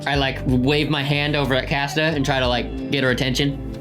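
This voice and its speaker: A man with a middle-pitched voice improvises as a tabletop player. His delivery is casual and halting, and music plays clearly underneath. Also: flat delivery. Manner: halting, conversational. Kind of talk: casual improvised roleplay talk.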